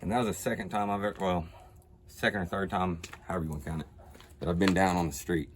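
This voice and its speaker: Low-voiced American man, plain-spoken biker. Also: steady tone, fast talker. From United States. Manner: plain-spoken, colloquial, cursing. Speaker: motorcycle mechanic and rider, interview guest. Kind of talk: casual storytelling and chat. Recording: clearly noisy background.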